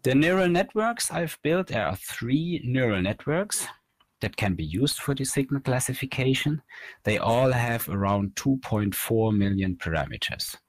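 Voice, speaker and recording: A man in his thirties presenting a technical slide lecture as a researcher, explaining in a measured, halting way from a small room. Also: medium pitch, sing-song, dry recording. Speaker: researcher presenting at an online academy.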